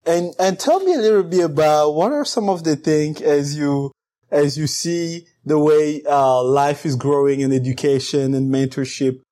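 A man's voice: on the high side, warm tone. A man hosting an interview show, upbeat as he reads out a guest's introduction and asks questions. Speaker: host.

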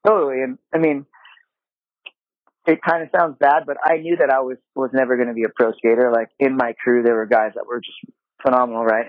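Man, fast relaxed chatter, grew up in Japan, thin phone-like sound. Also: medium pitch, dry recording. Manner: relaxed, talkative, lots of fillers. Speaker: guest, apparel designer. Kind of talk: casual interview conversation. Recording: dry, phone-like remote call audio.

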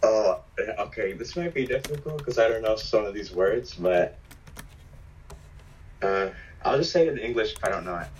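A teenage boy with a raspy, hoarse voice from a sore throat, talking hesitantly as a podcast guest. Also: fairly low pitch, slight background noise, poor audio. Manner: halting, flat, hesitant reading.

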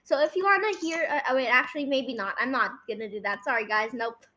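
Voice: high voice, fast talker, bright, forceful tone. A podcast host chatting casually and bossily while directing a guessing game.

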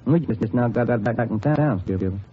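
A man's voice: mid-range voice, brisk, dark tone, natural voice. A man playing a plain-spoken, wry police detective sidekick in an old radio crime drama. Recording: muffled, noisy old radio recording.

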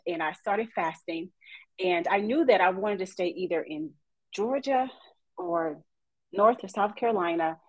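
A woman from East Texas, chatting on a podcast as a guest counselor and telling her story with faith-filled warmth. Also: mid-range voice, no echo, rough recording. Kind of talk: casual podcast chat.

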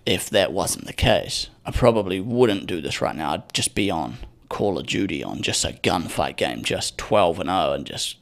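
A man's voice: low-pitched, a bit bright. A young man hosting his own podcast, talking casually in a profane, rambling, sardonic way into a microphone. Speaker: podcast host. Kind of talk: casual solo podcast rant.

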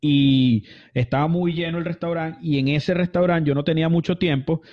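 A man's voice: a little high, fairly even intonation, moderate pace. A man from Venezuela, telling stories as an interview guest in a casual, rambling way full of fillers and restarts. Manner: chatty, many fillers and restarts. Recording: dull lo-fi audio.

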